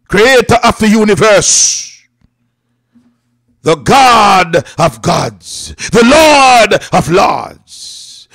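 Male preacher, high voice, very dry sound, declamatory Pentecostal prayer with rhythmic repetition. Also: vocal fry, sharp tone, slightly rough, no room sound. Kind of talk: fervent Pentecostal prayer with scripture recitation and speaking in tongues.

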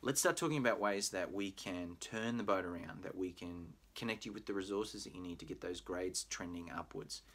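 A middle-aged Australian man with a very low voice talks prospective students through a university program. He explains things in a friendly, patient way, dropping in plenty of "uh"s. He is recorded on a webcam in a small room at home. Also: moderate pace, hardly any pauses, fairly bright tone. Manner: friendly, explanatory, with frequent "uh" fillers. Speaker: pre-law program manager. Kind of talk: recorded admissions presentation. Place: home room with bookshelf, indoors.

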